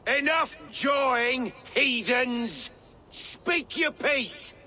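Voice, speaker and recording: A man's voice: very high voice, extremely slow, bright, forceful tone, slight background noise, exaggerated voice. An actor playing a proud, wrathful Saxon king who declaims pompously at invaders in a video game. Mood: scornful defiance.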